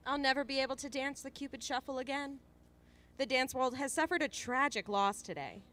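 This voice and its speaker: A woman's voice: high voice, expressive, sharp tone. A woman playing an awkward, teasing, loyal young survivor in an audio drama. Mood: playful. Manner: halting, nervous, playful. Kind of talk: naturalistic audio drama acting. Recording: faint background noise.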